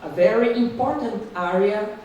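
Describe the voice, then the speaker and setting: A Brazilian woman with a Portuguese accent, a native Portuguese speaker, giving an academic panel talk in English carefully, with halting restarts. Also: mid-range voice, darkish tone, slight background noise. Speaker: panel speaker, law professor. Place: conference hall. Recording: distant mic in echoey hall.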